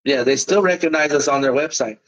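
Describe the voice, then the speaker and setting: A man in a relaxed, casual interview, talking about his music in long, loose sentences. He throws in "man" and "bro" as he goes. The recording sounds a little dull and lo-fi. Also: medium pitch, fairly quick. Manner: laid-back, talks in loose run-on sentences with "man" and "bro". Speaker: musician guest.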